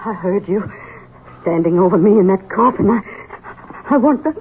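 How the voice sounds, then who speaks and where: An actress playing a frightened but imperious elderly mother in a radio drama, halting, indignant and pleading. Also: mid-range voice, natural voice. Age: older. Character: frightened, imperious elderly Southern-estate mother back from coffin. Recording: muffled vintage radio, faint noise.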